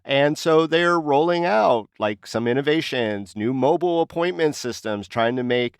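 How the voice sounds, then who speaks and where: Clear-voiced man co-hosting a casual news talk. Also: medium pitch, expressive, normal speed, no room sound, studio quality. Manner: conversational, posing questions to co-panelists. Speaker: podcast co-host.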